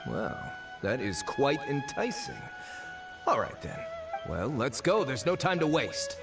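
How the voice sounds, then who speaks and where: An actor playing a smooth-talking young male foreign researcher in a video game, breezy and theatrical, with a scheming streak. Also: medium pitch, huge pitch swings, a little slow, recorded from a distance, over loud music. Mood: intrigued, scheming. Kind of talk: video game dialogue acting.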